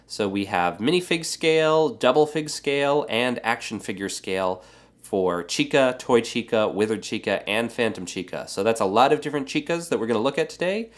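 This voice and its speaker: A man with a stuffy, congested voice from a cold, hosting a casual how-to building tutorial and explaining it in a relaxed way with frequent filler words. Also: mid-range voice. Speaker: Lego builder and channel host.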